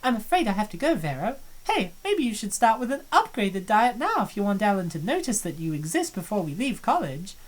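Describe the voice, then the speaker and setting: A woman's voice: medium pitch, sing-song, brisk, few pauses, poor audio. A woman voicing a confident, cheerful young woman in a fan dub of a visual novel, with a self-assured, breezy delivery.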